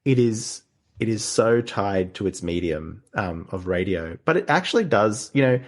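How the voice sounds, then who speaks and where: A man's voice: on the low side, expressive, moderate pace. A man hosting a casual podcast discussion, agreeable and meandering, feeling his way hesitantly through his thoughts.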